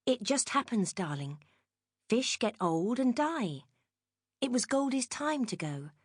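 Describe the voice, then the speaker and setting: A woman's voice: fairly high pitch, big pitch swings, a little slow, breathy edge, dry recording, clean studio sound, voice pushed up, exaggerated voice. A woman voicing a young mother, stern but loving, speaking to her child in an audiobook story. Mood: gentle.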